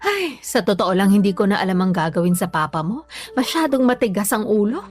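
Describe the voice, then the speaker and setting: An actress playing a worried, patient mother in a Filipino radio drama, pleading gently with a Filipino accent. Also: mid-range voice, very animated, fairly quick, soft background music, close to an everyday voice. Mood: troubled. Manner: pleading, concerned. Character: worried, patient, long-suffering mother and wife.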